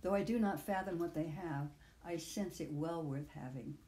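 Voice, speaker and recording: An older woman in her sixties or beyond reads her own reflective personal essay aloud in a measured, literary way, with deliberate phrasing. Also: low voice, mellow, roomy sound. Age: 60s or older. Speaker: author reading own audio essay.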